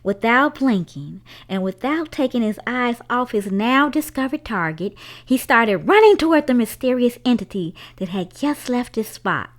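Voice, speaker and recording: A woman reading a children's story aloud as a storyteller, with a dramatic, expressive delivery. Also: fairly high pitch, normal speed.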